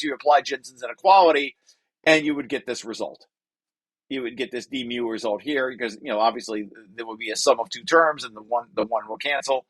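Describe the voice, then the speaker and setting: Halting man explaining a technical paper. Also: medium pitch, no echo, rough recording. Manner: halting, thinking aloud, repeats and corrects himself. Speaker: researcher presenting own paper. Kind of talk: informal technical read-through with explanation.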